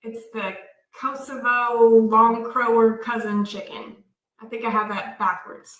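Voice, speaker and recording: A talkative woman, chatting excitedly as a livestream co-host. Her voice sits a little high and sounds set back from the mic. The room is echoey. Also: a little slow. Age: 30s. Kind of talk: casual chat on a homestead livestream. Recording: roomy, from a distance off the mic.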